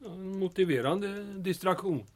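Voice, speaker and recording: A man playing a polite, slightly flustered small-town mayor in a comedic audio drama, speaking with hesitant official courtesy. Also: high-pitched. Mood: curious.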